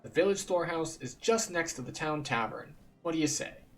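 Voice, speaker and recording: Casting: man playing folksy game villager, simple and friendly, raised animated voice, music underneath. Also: on the high side, brisk, pitched above the performer's own voice, natural voice. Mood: folksy, urging. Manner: folksy, colloquial, animated speech. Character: friendly rustic village man, uneducated quest giver.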